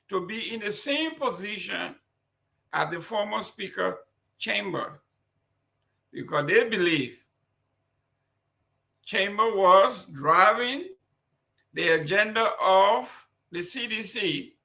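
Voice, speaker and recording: A man's voice: high voice, animated, slow pace, vocal fry, long pauses, pushed and bright. A man with a Liberian accent, reading political news and then commenting on it with indignant, emphatic rhetorical questions. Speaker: talk show commentator.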